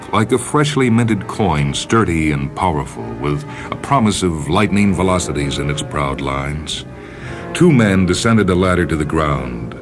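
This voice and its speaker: A man reading a science fiction story as narrator, in a solemn, measured dramatic style. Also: extremely low pitch. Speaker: narrator, short story reader. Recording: music under the voice. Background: music.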